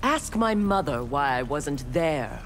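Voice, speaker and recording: A woman's voice: low-pitched, very animated, slow pace, a little hiss or hum, full character voice. A woman voicing a proud, ruthless young female ninja warrior in a video game, speaking in a cold, haughty, clipped way.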